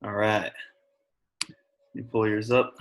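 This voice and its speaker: A man with a fairly low voice leads an online class as the teacher. His talk is casual and encouraging, addressed directly to his students. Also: little pitch movement, slow. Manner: encouraging, informal. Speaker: science teacher.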